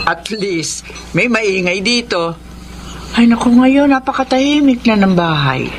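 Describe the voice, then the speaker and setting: A woman's voice: mid-range voice, expressive, measured pace, slight background noise, close to an everyday voice. A woman playing a doting older Filipino mother figure in a radio drama, affectionate and caring.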